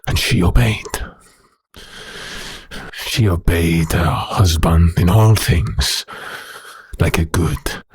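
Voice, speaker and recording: An actor playing a bitter, haunted werewolf prisoner in an audio drama, speaking in a slow, brooding, halting way with a rough voice. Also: male voice, low-pitched, no room sound, full character voice. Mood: haunted sorrow. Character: bitter haunted male werewolf prisoner recounting his past.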